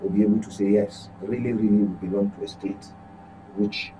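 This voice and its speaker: A man's voice: on the low side, steady tone, a little fast, background noise. A Nigerian man giving unscripted political commentary as a studio guest, repeating words for emphasis. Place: radio studio.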